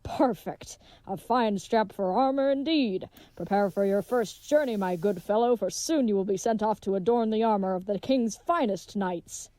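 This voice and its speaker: A woman's voice: medium pitch, moderate pace, dark tone, no echo, natural voice, low-quality recording. A woman playing a gallant, dim and earnest leathersmith turned would-be knight, with pompous, flowery speech in a comedic audio drama. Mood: proud, cheerful.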